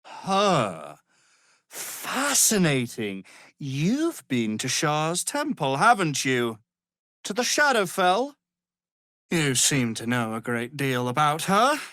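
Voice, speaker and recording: An arrogant game wizard who is hungry for power and glory. He speaks slowly and condescendingly, with widely swooping intonation. The recording is dry and close. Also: a little high, wildly swooping pitch, sharp tone, dry recording, full character voice. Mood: smug, condescending. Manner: pompous, condescending, theatrical. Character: arrogant, grandiose male wizard obsessed with power and immortality. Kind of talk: dramatic fantasy video game acting.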